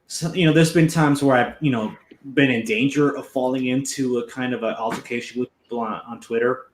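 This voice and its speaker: A man's voice: medium pitch, fairly even intonation, a little fast. Casual male host in a roomy echo. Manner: rambling and informal, full of restarts and fillers. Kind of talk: casual chat on a livestream. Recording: roomy echo, faint noise.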